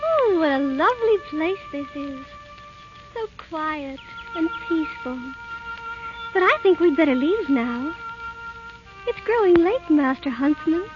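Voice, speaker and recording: A woman voicing a sweet, timid young princess in an old animated film, gentle and dainty. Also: high voice, measured pace. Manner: gentle, dainty, sing-song. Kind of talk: 1930s animated film acting. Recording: dull vintage film soundtrack with music.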